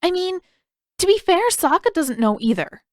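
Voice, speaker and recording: A woman's voice: very high voice, very animated, normal speed, a little mellow, no echo, clean studio sound, voice pushed up. A woman voicing an earnest, sheepish young boy in an audiobook, hesitant and a little defensive.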